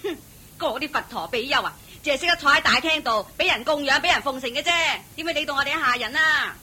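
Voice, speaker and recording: A woman's voice: high-pitched, fast, sharp tone. An actress with a Hong Kong Cantonese accent voicing a stubborn, grumbling female housekeeper in a radio drama. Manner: petulant, sulky and defiant. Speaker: voice actor.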